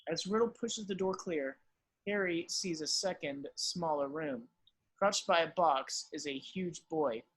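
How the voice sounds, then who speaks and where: Bright, high-voiced man narrating a script. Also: unhurried, husky, rough recording. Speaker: narrator of stage directions. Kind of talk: scripted screenplay narration in an amateur table read.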